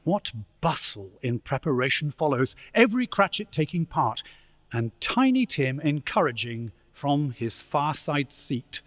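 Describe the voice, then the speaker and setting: A man playing a storyteller, narrating a Victorian tale with theatrical, deliberate delivery in an echoey church. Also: on the high side, very animated, fairly bright tone, heavily acted. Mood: measured. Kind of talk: dramatic scripted narration. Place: church, live performance. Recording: echoey church hall, long reverb.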